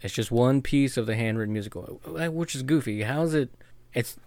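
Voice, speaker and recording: Podcast dude with dry jokes, mid voice, dead dry sound. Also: male voice, mid-range voice, moderate pace, darkish tone, no room sound. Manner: joking, wry asides. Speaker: podcast co-host. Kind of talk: casual podcast chat.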